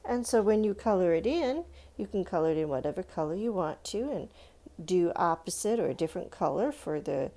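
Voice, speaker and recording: A woman's voice: on the low side, animated, fairly quick, dark tone. A woman giving an informal step-by-step drawing lesson for children, encouraging and thinking aloud as she goes. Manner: encouraging, thinking aloud, addressing young viewers with "let's" and check-ins. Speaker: art teacher for children.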